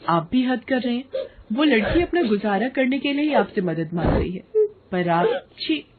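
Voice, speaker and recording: A woman's voice: fairly high pitch, lively intonation, normal speed, narrow, tinny audio, noisy background, pitched above the performer's own voice, close to an everyday voice. A woman playing a kind, protective lady in a cartoon story, motherly and firm. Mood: indignant. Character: kind, protective woman who scolds bullies. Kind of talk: Hindi moral cartoon story acting.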